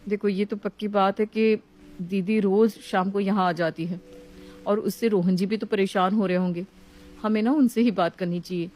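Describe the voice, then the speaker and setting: A woman's voice: mid-range voice, fairly even intonation, moderate pace, darkish tone, faint music behind, natural voice. A woman voicing a put-upon young housewife in a story, polite and restrained as she complains. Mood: conspiring, earnest.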